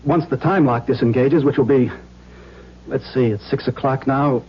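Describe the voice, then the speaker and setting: An actor playing an anxious, upright middle-aged bank executive, speaking earnestly and properly in an old-time radio drama. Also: male voice, medium pitch, moderate pace, slightly rough, close to an everyday voice. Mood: tense, reasoning. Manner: earnest, reasoning, proper diction. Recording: dull lo-fi old radio recording.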